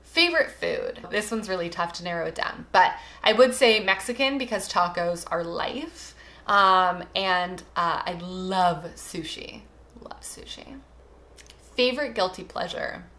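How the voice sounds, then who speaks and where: A young woman from Toronto with a Canadian accent talks casually to her viewers. Her voice sits a little low for a woman but sounds bright and forward. She is chatty and emphatic, letting phrase endings trail away. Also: expressive, unhurried. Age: young adult. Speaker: vlogger introducing herself. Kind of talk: casual chat to camera, get-to-know-me answers.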